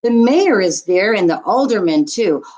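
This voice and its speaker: A woman reading a rhyming children's picture book aloud like a teacher, expressively and deliberately, as a storyteller would to young listeners. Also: mid-range voice, expressive, a little mellow, lo-fi sound.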